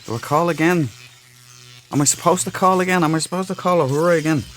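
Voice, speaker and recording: A man's voice: a little high, fast talker, fairly bright tone, a little hiss or hum. A man hosting a casual let's play, sweary and exclamatory as he talks to himself. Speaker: gaming channel host. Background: game music.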